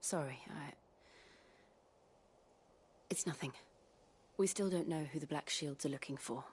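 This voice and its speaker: An actress playing a guarded, thoughtful travel companion in a fantasy video game, breathy and subdued. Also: female voice, low voice, measured pace, a little mellow, slight background noise. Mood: subdued, pensive. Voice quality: breathy, husky. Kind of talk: scripted video game acting.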